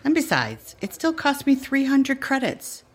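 Lively, teasing aunt behind the bar. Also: female voice, high-pitched, measured pace, full character voice. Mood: wry, firm. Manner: teasing, no-nonsense. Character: wry, affectionate aunt running a bar. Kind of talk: audio drama acting.

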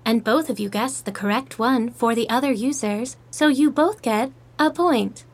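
A high-voiced, chirpy female AI host from an audio drama. She is relentlessly upbeat and scripted, like a game host working through set phrases. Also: moderate pace. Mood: cheerful, polite. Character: chirpy artificial intelligence matchmaking host, female.

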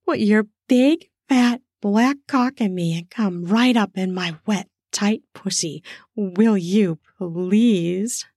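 A woman voicing an eager young female lover, breathy and pleading. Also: mid-range voice, slow pace, a little mellow, no echo, clean studio sound.

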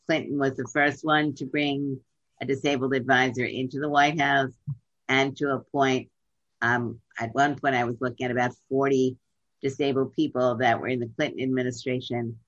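Measured woman on dull lo-fi audio. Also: rumbling low, no room sound. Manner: measured, deliberate, with frequent pauses and fillers. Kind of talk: remote interview answers.

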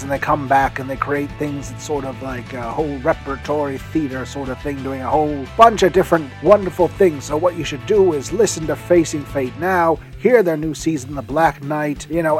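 A man giving a chatty podcast recommendation in a rambling, self-deprecating way. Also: on the high side. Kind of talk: chatty scripted-feeling promo talk.

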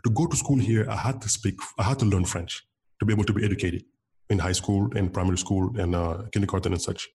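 A man's voice: sits low, steady tone, fast talker, a little mellow. A man from Senegal whose first language is Wolof, a guest on an interview, talking casually with many fillers and restarts. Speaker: interview guest, bitcoin developer.

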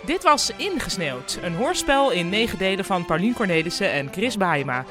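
High-voiced man announcing over music. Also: very animated, moderate pace. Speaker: credits announcer. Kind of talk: scripted closing credits.

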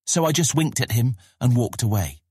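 Fantasy audiobook role: cocky guildless teen boy, defiant swagger, husky edge, close dry sound. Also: mid-range voice, lively intonation, moderate pace, a little mellow, no echo. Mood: boastful, gleeful. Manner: boastful, insolent. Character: cocky, defiant fifteen-year-old guildless boy, boastful storyteller. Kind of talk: full-cast fantasy audiobook acting.

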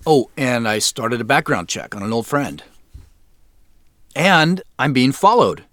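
An actor playing a guarded, exasperated man on the run in an audio drama, with a weary, halting delivery. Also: medium pitch, very animated, a bit bright, no room sound.

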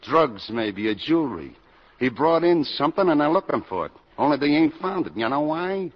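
An actor playing a suspicious, controlling gang boss with tough, slangy talk in 1950s radio drama style. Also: male voice, on the high side, sing-song, normal speed. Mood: scheming, menacing. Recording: noisy, muffled old radio broadcast.

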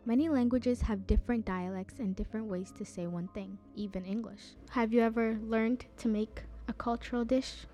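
A young woman hosts a youth interview show, reading her questions and links from a script. Her voice is raised and mellow, never sharp. Soft music plays beneath her. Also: fairly high pitch, fairly even intonation, moderate pace, hardly any pauses. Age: young adult.

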